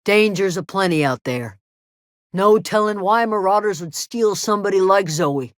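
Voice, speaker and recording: A woman playing a gruff, guarded frontier settler who watches over a camp, terse and plain-spoken, in a video game. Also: medium pitch, expressive, moderate pace, dry recording, clean studio sound, natural voice. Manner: terse, wary, plain-spoken with folksy drawn phrasing. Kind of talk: video game character dialogue.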